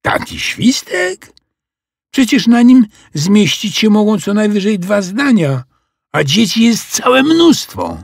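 Clever little storybook girl played by a man: raised pitch, husky edge, excitable and swooping. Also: slow, no room sound, studio quality, full character voice. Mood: doubtful surprise. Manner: excitable, emphatic, confident. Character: clever, eager little girl helping a dragon.

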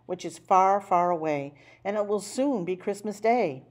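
A woman voicing a polite, earnest old man in a children's storybook, courteous and clear about his errand. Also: mid-range voice, a little slow, close to an everyday voice. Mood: polite, pleading. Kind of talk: storybook character voice.

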